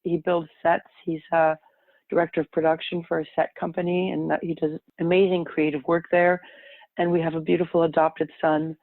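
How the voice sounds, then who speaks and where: A woman's voice: deep voice, little pitch movement, brisk, no room sound. A middle-aged woman whose first language is French tells her own story as a podcast guest in a reflective, rambling way, often correcting herself mid-sentence. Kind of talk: casual personal storytelling. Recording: tinny phone-line sound, dry.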